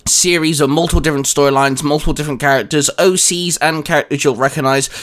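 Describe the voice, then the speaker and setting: A man with a slightly high voice hosts a casual geek podcast. He explains things in a wordy way, as if walking listeners through a list. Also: fairly bright tone. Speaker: host and audio drama writer. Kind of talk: casual podcast chat and channel plugs.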